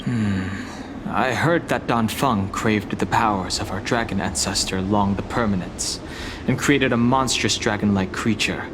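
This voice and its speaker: An actor voicing a calm, guarded young warrior in a video game, speaking in composed, formal phrases. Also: male voice, lowish voice, unhurried, background noise, close to an everyday voice. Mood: pensive, firm.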